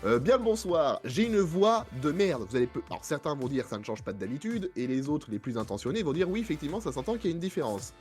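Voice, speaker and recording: A man with a head cold and a hoarse voice, hosting a casual podcast chat with many fillers and self-mocking asides. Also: fairly high pitch, very animated, quick pace, few pauses, darkish tone, soft background music. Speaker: podcast host.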